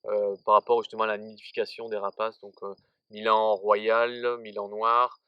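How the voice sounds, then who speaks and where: A man's voice: fairly low pitch, level tone, moderate pace, clear, resonant tone, no room sound, muffled recording. A man from northern France, a wildlife photography guide, answering interview questions outdoors with many hesitations and restarts. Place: outdoors at a scenic overlook.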